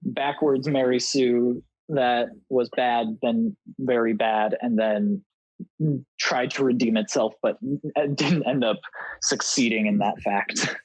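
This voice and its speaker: A man chatting casually as a podcast guest, halting and repeating words as he goes. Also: mid-range voice, fairly even intonation, no echo, lo-fi sound. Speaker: podcast guest, audio drama creator.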